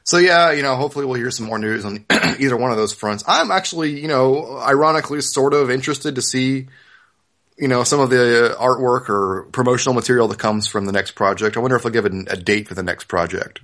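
A man's voice: medium pitch, a little fast, a bit bright. A man hosting a casual fan podcast, talking through news in a hedging, wry manner. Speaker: podcast host, fan forum operator.

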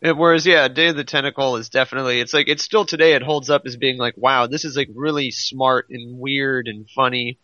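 A man's voice: mid-range voice, fairly even intonation, fairly quick, hardly any pauses, bright, forceful tone, no room sound, dull audio. A man chatting casually about video games as a podcast co-host, explaining things in a rambling way and often interrupting himself.

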